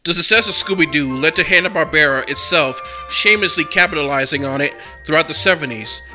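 A man's voice: on the high side, fairly quick, music in the background. A man narrating a cartoon history documentary in a scripted, enthusiastic fan's manner. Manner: enthusiastic, fan-like.

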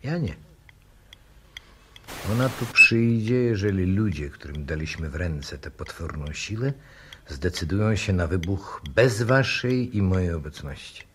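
A low male voice plays a grim professor resigned to doom in an old radio play. He is deliberate and theatrical, swelling from hushed menace to force. The recording has faint vintage noise. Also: animated. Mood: grim, challenging. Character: grim, fatalistic male professor calmly awaiting a deadly explosion. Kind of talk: 1960s Polish radio drama acting.

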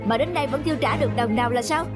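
A man's voice: very high-pitched, very fast, loud music behind the voice. A man playing an arrogant, greedy rich landlord in a cartoon folk tale, haughtily barking orders. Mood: domineering.

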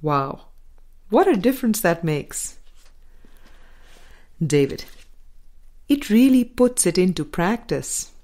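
A woman reading a spiritual book of dialogue aloud as a scripted narration, in a measured, deliberate manner. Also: lowish voice, darkish tone. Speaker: audiobook reader.

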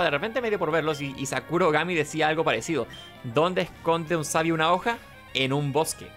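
A man's voice: on the high side, a bit bright. A man giving casual, rambling let's play commentary, talking to himself. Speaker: gaming host.

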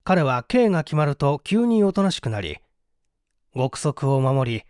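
A man's voice: on the high side, very animated, a little slow, darkish tone, no echo, studio quality. A man narrating a mystery story in a formal, theatrical, suspenseful literary reading style.

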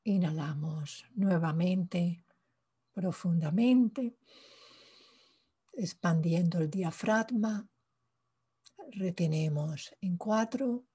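Very slow, breathy woman guiding meditation. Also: on the low side. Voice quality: soft, breathy. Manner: calm, hushed, pausing between short phrases. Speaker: meditation guide. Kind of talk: guided meditation, slow spoken instructions.

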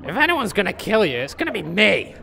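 Very high, creaky brash gnome. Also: male voice, huge pitch swings, fairly quick, pushed and bright, slight background noise, full character voice. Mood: gruffly mocking. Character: foul-mouthed, brash, aggressive gnome. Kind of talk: audio comedy-drama acting.

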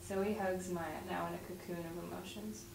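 Low-voiced young woman reading, roomy and distant. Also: steady tone, normal speed, darkish tone. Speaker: script reader at a table read. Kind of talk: reading stage directions aloud. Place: home dining room around a table. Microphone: far camera. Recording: roomy, distant mic, clear background noise.

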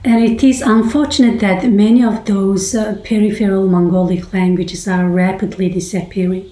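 Measured woman lecturing in a roomy space. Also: medium pitch, steady tone, warm tone. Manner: measured, academic delivery. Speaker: host. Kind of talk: scripted explainer lecture. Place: small room indoors. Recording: roomy echo.

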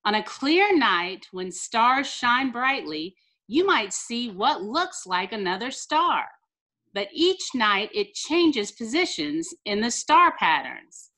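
A middle-aged American woman, a teacher reading a picture book aloud to children with careful, deliberate enunciation over a video call. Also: on the high side, very animated, sharp tone. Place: small room indoors, on a video call.

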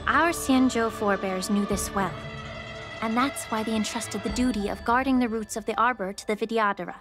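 Brief: actress as a poised, gracious young alchemist, gentle delivery, game score under. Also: female voice, on the high side, fairly quick, natural voice. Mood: reflective. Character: poised young woman alchemist, graceful and composed. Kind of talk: game voice acting. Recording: game audio with clear background music.

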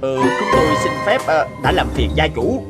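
A man's voice: fairly high pitch, big pitch swings, loud music behind the voice. A man playing a humble, grateful poor beggar in a cartoon, speaking in a deferential way. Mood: flustered, apologetic. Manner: deferential, halting. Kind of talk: animated moral tale acting.

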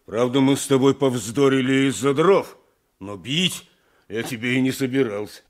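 An actor playing a stern, defensive village elder in a radio play, speaking firmly. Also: male voice, mid-range voice, moderate pace, close to an everyday voice. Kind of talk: Soviet radio play acting. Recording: 1980s radio drama recording.

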